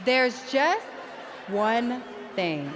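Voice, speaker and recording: A woman's voice: on the high side, sing-song, extremely slow, a loud crowd, very noisy, heavily acted. A woman playing a confident, assertive wrestler in scripted video game cutscene dialogue. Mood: defiant.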